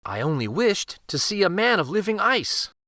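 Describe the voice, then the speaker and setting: A man's voice: sits high, sing-song, normal speed, bright, forceful tone, dry recording, clean studio sound, pitched above the performer's own voice, exaggerated voice. A man voicing a polite, brave young peasant woman in a fairytale read aloud. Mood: earnest. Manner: polite, pleading.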